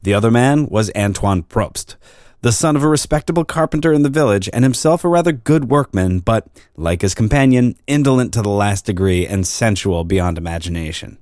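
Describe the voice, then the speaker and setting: A man narrating an old-fashioned drama in a formal, measured storytelling manner. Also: fairly low pitch, animated, darkish tone, dry recording, clean studio sound. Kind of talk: scripted literary narration of a drama.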